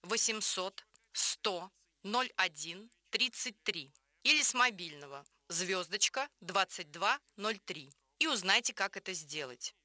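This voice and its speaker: A woman presenting a scripted radio infomercial in a polished, promotional, clearly enunciated way. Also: mid-range voice, bright, forceful tone, no room sound.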